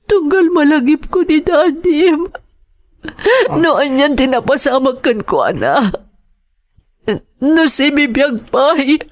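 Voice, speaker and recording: A woman's voice: sits high, lively intonation, slow pace, long pauses. A middle-aged Filipino woman acting in a radio drama as an anguished wife and mother whose husband is missing, tearful, sighing and pleading. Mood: despairing, tearful.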